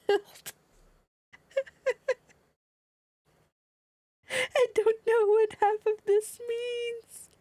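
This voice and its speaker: A woman's voice: sits high, no echo. A woman livestreaming a video game, chatting casually and reading dialogue aloud in an irreverent, blunt, sweary manner.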